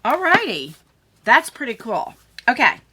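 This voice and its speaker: A woman's voice: on the high side, sing-song, unhurried, background noise. A middle-aged woman chatting casually and effusively to viewers as a beauty vlogger, unboxing products. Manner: effusive, talks to viewers and to the items.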